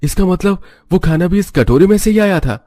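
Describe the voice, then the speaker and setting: A man's voice: high voice, expressive, brisk, dark tone, pitched above the performer's own voice. A man voicing an innocent, hopeful little boy in a cartoon story, talking in a childlike, eager way. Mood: amazed. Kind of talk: Hindi cartoon story voice acting.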